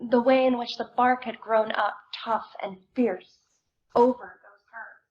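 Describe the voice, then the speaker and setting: A woman giving a dramatic reading of literary fiction, with a measured, deliberate delivery. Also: a little high, highs cut off. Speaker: theater performer reading a book excerpt aloud.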